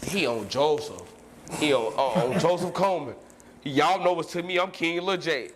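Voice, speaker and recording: A man putting on a loud, clowning impression of a friend while retelling a rowdy jail story, shouting and swinging his pitch around. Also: animated, moderate pace, natural voice. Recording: played-back clip with loud background noise.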